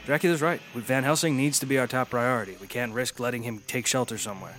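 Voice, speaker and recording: An actor playing a calm, philosophical, long-lived gentleman in a radio drama, speaking in formal, measured phrases. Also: medium pitch, soft background music, close to an everyday voice. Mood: firm. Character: eloquent, philosophical ageless gentleman, calm and earnest.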